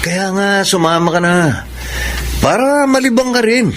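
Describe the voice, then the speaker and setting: A man's voice: high-pitched, expressive, moderate pace, fairly bright tone, a little hiss or hum. A man playing an easygoing young Filipino buddy in a radio drama, breezy, coaxing and teasing. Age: young adult.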